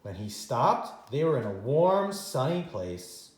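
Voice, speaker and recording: A man reads a children's picture book in a very animated, swinging voice. He uses dramatic pauses for young listeners, and the room adds a noticeable echo. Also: medium pitch. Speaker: teacher reading a children's story. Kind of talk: animated read-aloud storytime narration.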